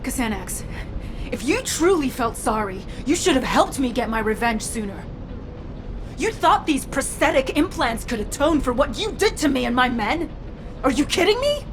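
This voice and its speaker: A woman's voice: high-pitched, big pitch swings, moderate pace, music in the background. Bitter, vengeful woman soldier. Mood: angry, bitter. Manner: accusing, intense. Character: vengeful wounded woman soldier with prosthetic body, bitter, defiant. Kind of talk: dramatic video game voice acting.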